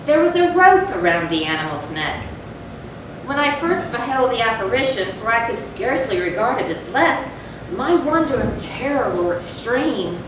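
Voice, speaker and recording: A woman playing a guilt-tormented narrator of a gothic horror tale in a deliberate, theatrical stage recitation. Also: expressive, lightly acted. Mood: awed, uneasy. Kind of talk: dramatic readers-theatre recitation of a gothic story. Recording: very echoey stage, distant mic, noisy rumble.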